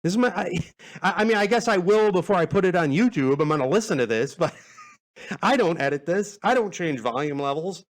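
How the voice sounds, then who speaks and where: A man's voice: sits high, expressive, brisk. A man in his forties hosting a casual podcast, rambling along in a laid-back, self-deprecating way. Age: 40s. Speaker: podcast host.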